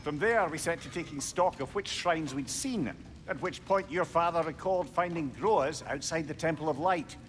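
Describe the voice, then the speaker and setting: An actor voicing a witty old disembodied head, who tells stories in a wry, lilting way. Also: male voice, a little high, normal speed, hardly any pauses, faint music behind, lightly acted. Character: witty wise disembodied head, older male.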